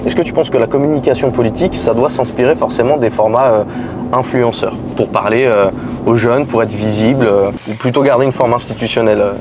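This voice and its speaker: A man hosting an interview show, asking questions in a halting, teasing way with many fillers. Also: mid-range voice, noisy background. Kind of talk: casual interview in a car. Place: inside a car on city streets.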